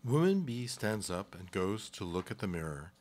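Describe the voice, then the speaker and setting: Low-voiced male radio play narrator. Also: big pitch swings, moderate pace, darkish tone. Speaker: narrator reading stage directions.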